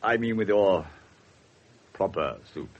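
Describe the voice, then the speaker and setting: An actor with an English accent playing a pompous, courteous, middle-aged police superintendent in a radio drama, formal and somewhat awkward. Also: male voice, low voice, expressive, slow pace, exaggerated voice. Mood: awkward, confiding. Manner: formal, hesitant when uneasy. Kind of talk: 1970s radio drama acting. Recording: slightly muffled 1970s radio broadcast.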